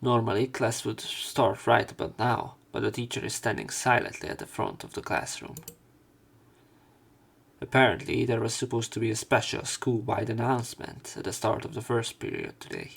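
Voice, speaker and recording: A man's voice: on the low side, very animated, moderate pace, vocal fry, fairly bright tone, husky. A man reading a visual novel aloud as a let's player, with casual commentary and joking asides. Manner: joking asides between read passages.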